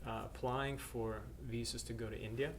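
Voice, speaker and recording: Middle-aged male missionary from Norway, plain mid pitch, halting testimony with fillers; faint background noise. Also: steady tone. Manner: hesitant, many uhs and restarts. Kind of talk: personal testimony to camera.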